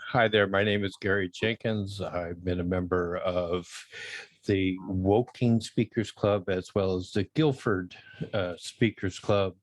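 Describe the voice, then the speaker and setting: A man's voice: sits low, steady tone, measured pace, no room sound. A man from England introducing himself to an online meeting in a friendly way, with some stumbling restarts. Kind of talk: casual self-introduction.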